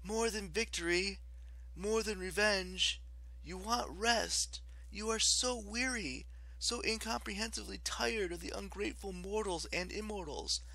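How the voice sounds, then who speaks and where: A kind, persuasive teenage girl, voiced by a man, speaks in a raised, gentle tone. The words come soothingly and steadily, with hypnotic sympathy, in an audiobook performance. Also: sits high, gravelly, no room sound, voice pushed up, full character voice, poor audio. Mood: hypnotic coaxing. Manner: soothing, hypnotic, measured coaxing. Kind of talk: audiobook character acting.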